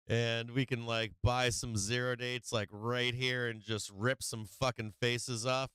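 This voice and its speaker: A man hosts a live trading stream with a loose, profane, filler-heavy manner. His voice is strikingly clear and smooth, though it has been wearing out this week. The sound is dry and close, with no room around it. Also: medium pitch, fairly bright tone, no room sound. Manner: loose and profane, many fillers, laughs often. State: losing his voice. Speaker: stock market livestream host. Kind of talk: casual trading commentary.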